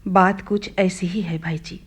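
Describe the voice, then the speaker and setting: Slow, sorrowful mother in radio drama. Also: lowish voice, steady tone, slightly rough. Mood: sorrowful, resigned. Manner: halting, sorrowful, polite. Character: anguished widowed mother of a dying girl. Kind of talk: Hindi radio play acting.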